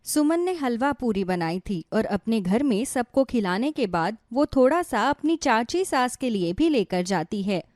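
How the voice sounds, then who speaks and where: A woman's voice: on the high side, animated, measured pace, hardly any pauses, a little mellow, no echo, studio quality. A woman narrating a scripted moral story as the storyteller.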